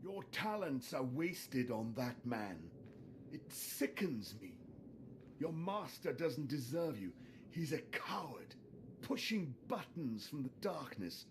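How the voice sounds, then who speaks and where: A man playing a vengeful, contemptuous Sith lord in a video game, taunting in a theatrical, drawn-out way. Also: medium pitch, sing-song, background noise. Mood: scornful, sly.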